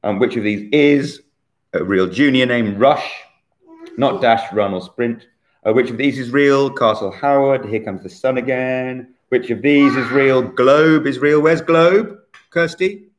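A man in his forties hosting a casual online quiz from a bright room at home, chatty and teasing, recorded on a laptop webcam. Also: mid-range voice, a little slow. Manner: chatty, teasing, self-mocking. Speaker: quiz host.

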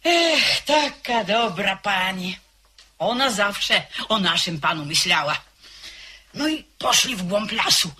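Sweet old servant lady gossiping, super bright voice, lively up and down. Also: mid-range voice, slow, slight background noise. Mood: reminiscing. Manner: deferential, gossipy storyteller. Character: simple, devoted old woman servant. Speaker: maid. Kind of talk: vintage radio drama acting.

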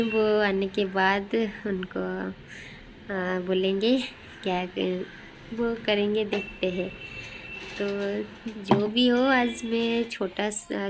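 A woman's voice: medium pitch, fairly even intonation, slow, background noise. A young woman from India, narrating her daily life in a casual vlog style. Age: young adult. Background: music.

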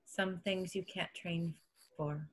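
A woman's voice: on the low side, steady tone, takes it slowly, fairly bright tone, husky, exaggerated voice. A woman reading the part of a wise, motherly older resistance general in a table read over a video call. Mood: subdued, sorrowful. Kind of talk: table read of a film script.

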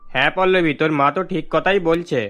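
An agreeable middle-aged cartoon father played by a man, with a slightly high voice. He is indulgent and reassuring, with faint music behind him. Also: fairly even intonation, fairly quick, a bit bright, natural voice. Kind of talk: Bengali cartoon voice acting.

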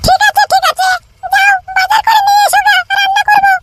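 Man doing a squeaky, extremely high nagging cartoon wife. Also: little pitch movement, fast, pushed and bright, slight background noise. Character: nagging young wife and mother, bossy but caring. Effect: pitch-shifted voice. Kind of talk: amateur cartoon comedy dialogue.

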